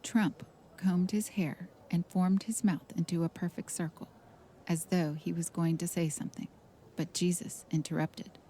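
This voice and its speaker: A woman's voice: lowish voice, little pitch movement, moderate pace, warm tone, slightly rough, poor audio. A woman reading scripted narration in a storybook style.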